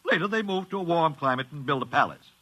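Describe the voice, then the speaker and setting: A man's voice: a little high, animated, fast, pushed and bright. An actor playing a fussy, deferential salesman in a 1950s radio drama, polished and precise in his delivery. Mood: obliging, salesmanlike. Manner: polished, obliging, precise enumeration. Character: fussy, deferential male builder salesman of the future.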